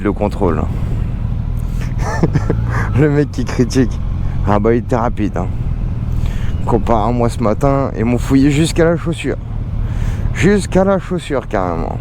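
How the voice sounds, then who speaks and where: A young man vlogging casually from his motorbike, joking and thinking aloud as he rides. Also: medium pitch. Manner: chatty, joking, thinking aloud while riding. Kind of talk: casual vlog commentary. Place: on a motorbike in city traffic. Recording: road noise behind. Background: traffic and engine noise.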